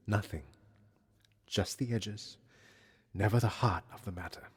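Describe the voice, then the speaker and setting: An actor playing a reticent, intense musician in an audio drama, speaking with a husky voice in a halting, poetic, introspective way. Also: male voice, lowish voice, background noise, natural voice. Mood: quiet, lyrical. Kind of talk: naturalistic audio drama dialogue and lyrical monologue.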